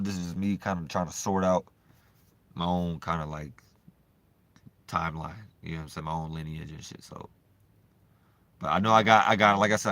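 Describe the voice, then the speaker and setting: A man vlogging in a loose, profane, rambling monologue, swinging between animated bursts and low mumbling. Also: no room sound. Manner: loose, profane and repetitive, full of "you know what I'm saying".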